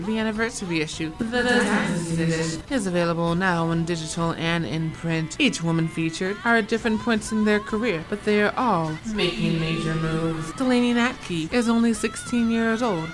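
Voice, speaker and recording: A woman's voice: mid-range voice, low-quality recording. Woman reading commercial voice-over over music. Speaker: voice-over artist and radio host. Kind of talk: scripted commercial voice-over narration. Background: music bed behind the voice.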